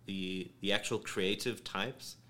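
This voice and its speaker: A man's voice: sits low, steady tone, slow, pushed and bright. A man from South Africa talking casually in an interview, rambling with many ums and restarts. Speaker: creative studio head, guest. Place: home studio. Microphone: studio microphone.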